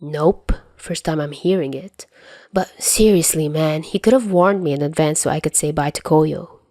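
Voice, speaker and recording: Woman as a nonchalant, low-toned young man. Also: normal speed, mellow, no echo, lightly acted. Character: casual, easygoing young man, shrugging partner.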